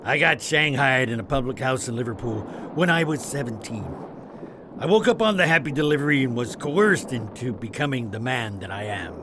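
Guy doing a rough old-hand pirate for radio theatre, swaggery sailor talk, pitch all over, noisy behind him. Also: animated, normal speed, close to an everyday voice. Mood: grim, reminiscing. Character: rough, wily veteran pirate, Captain's trusted old hand. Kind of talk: audio drama acting.

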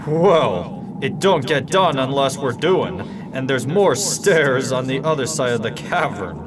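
A man's voice: medium pitch, sing-song, measured pace, background noise. A man playing a tired, stubborn old cab horse in a radio play, talking in a folksy, plain-spoken way. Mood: weary. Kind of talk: amateur radio play acting.

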